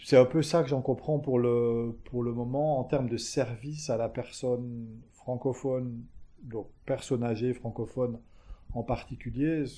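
A man's voice: medium pitch, fairly even intonation, measured pace, dark tone. A man answering interview questions as a community organization manager, hesitant, with frequent fillers, restarts and tag words. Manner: tags phrases with "hein".

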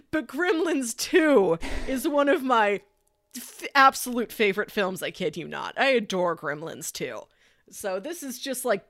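A woman co-hosting a podcast, pitching movies in a casual, enthusiastic and emphatic way with chatty asides. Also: fairly bright tone, no room sound, studio quality. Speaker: podcast co-host.

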